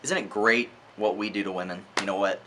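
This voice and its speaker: A man's voice: on the low side, fairly even intonation, brisk, background noise. A man giving an informal, opinionated book review monologue in a conversational, emphatic way, repeating words for effect.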